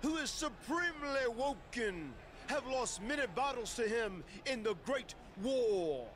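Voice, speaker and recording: A man's voice: very high-pitched, expressive, unhurried, fairly bright tone, full character voice. A man acting as an eccentric, grandiose wrestler in a video game cutscene, speaking in pompous, archaic, theatrical phrases. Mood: pompous, mystical.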